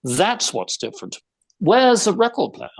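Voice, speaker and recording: A private detective in an audio theater piece. His voice swings in animated arcs as he puts formal, precise, probing questions to his suspects. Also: high voice, a little slow, heavily acted. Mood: curious.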